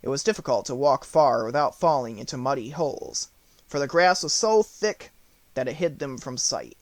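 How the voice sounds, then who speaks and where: A man's voice: mid-range voice, expressive, normal speed, dry recording. A man reading scripted storybook narration as an audiobook narrator.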